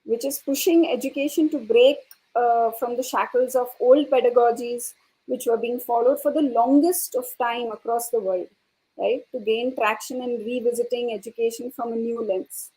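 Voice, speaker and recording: A woman with a fairly high voice speaks as an educator on a panel. Her delivery is formal, like a prepared answer. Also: steady tone, normal speed, warm tone, poor audio.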